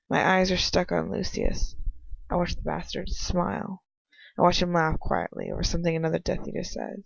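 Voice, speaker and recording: Woman reading a story out loud, pretty low n creaky, bit halting, muffled lofi mic. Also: dry recording. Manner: halting, stumbles and rereads phrases. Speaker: amateur audiobook reader. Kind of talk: fan fiction narration, read aloud in first person. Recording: dull lo-fi home recording.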